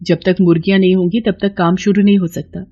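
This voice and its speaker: A woman's voice: fairly low pitch, little pitch movement, quick pace, dark tone, highs cut off, natural voice. A woman voicing a devoted young daughter-in-law in a storybook tale, earnest and respectful.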